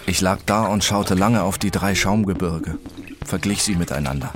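A man's voice: low-pitched, moderate pace, background noise, close to an everyday voice. A man playing an obsessive, wealthy eccentric who narrates his re-enactment schemes in a radio drama, terse and insistent. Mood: dazed, absorbed. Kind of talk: radio drama acting and first-person narration. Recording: loud music over the voice.

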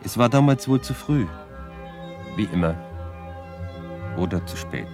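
An actor playing a solemn reciter of a poetic lament in a radio drama, speaking in spare, halting phrases. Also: male voice, fairly low pitch, lively intonation. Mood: solemn, elegiac. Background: violin music.

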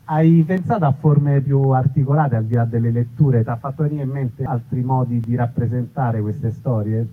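A man's voice: mid-range voice, moderate pace, few pauses, slightly rough. Man as live interviewer, mid-range voice, discursive and thoughtful, narrow phone-like audio. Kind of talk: casual on-stage interview. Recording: thin phone-like live recording.

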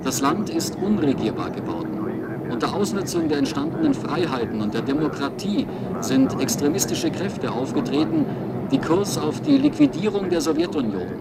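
A man's voice: fairly high pitch, wildly swooping pitch, moderate pace. A man solemnly reading a formal official proclamation in a declamatory manner. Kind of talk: formal read-out announcement. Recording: very noisy ambient background.

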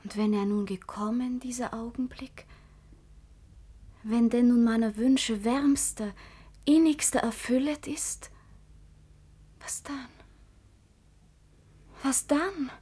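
An actress playing an ardent, devout young maiden in classical radio drama, speaking fervently and deliberately. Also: female voice, on the high side, dark tone, husky. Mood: yearning, expectant.

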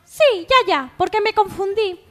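A woman's voice: very high-pitched, normal speed, pushed and bright, faint music behind. A woman playing a gossipy, indignant village woman who rambles on in an absurd radio comedy sketch. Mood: chatty, gossipy. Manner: chatty, gossipy, digressing.

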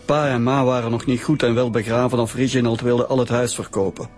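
A man's voice: medium pitch, steady tone, a little fast, darkish tone, faint music behind, natural voice. An actor playing a plain-spoken, aggrieved married man with a Flemish accent in a radio drama. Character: resentful married brother of a dead man.

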